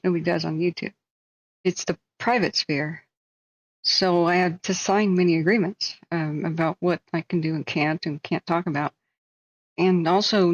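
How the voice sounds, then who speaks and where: Woman livestream host, rambling casual chat, self-mocking humor, low-ish voice, dull dry lo-fi mic. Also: no room sound. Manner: rambling, joking, self-deprecating. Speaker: live-stream host. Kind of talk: casual live-stream chat.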